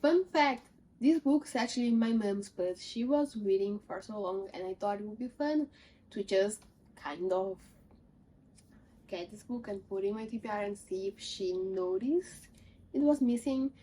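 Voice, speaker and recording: Young woman, English, casual book vlogger, medium pitch, light background noise. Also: moderate pace, dark tone, poor audio. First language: not English. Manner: chatty, listing titles, self-deprecating asides. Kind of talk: casual book haul chat.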